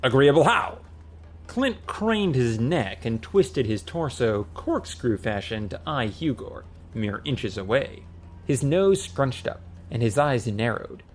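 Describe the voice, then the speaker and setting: Animated male audiobook narrator. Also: mid-range voice, takes it slowly. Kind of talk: expressive comic fantasy narration.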